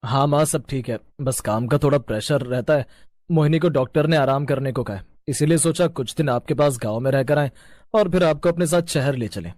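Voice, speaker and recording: A man's voice: mid-range voice, a little fast, a little mellow, dry recording, clean studio sound, close to an everyday voice. Man voicing placating, henpecked young husband. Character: young city husband, placating, dutiful but henpecked son.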